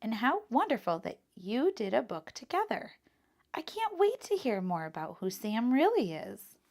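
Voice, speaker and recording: A woman's voice: fairly high pitch, wildly swooping pitch, normal speed, a little mellow, heavily acted. A woman voicing a kindly, enthusiastic librarian in a children's storybook, speaking in an encouraging, emphatic way. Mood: kindly, proud. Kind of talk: children's storybook read-aloud.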